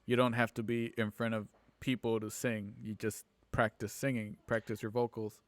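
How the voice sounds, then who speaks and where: A man's voice: lowish voice, level tone, moderate pace, dry recording. A man talking casually and earnestly, circling back over his points. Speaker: film editor and aspiring voice actor. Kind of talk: casual group chat.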